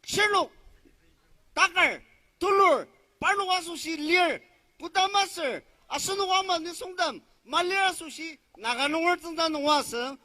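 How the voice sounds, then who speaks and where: A man with a Northeast Indian accent interpreting at a political rally, declaiming loudly in short bursts. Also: very high voice, normal speed. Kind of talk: consecutive interpreting, loud public address.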